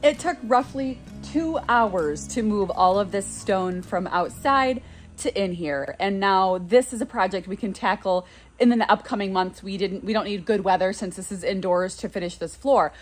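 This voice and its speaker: A woman's voice: mid-range voice, moderate pace, soft background music. A woman in her thirties, a flower farmer, talking to her phone in a greenhouse in a chatty, upbeat way, explaining the work step by step. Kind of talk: casual vlog narration to camera. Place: inside a greenhouse. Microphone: phone held at arm's length.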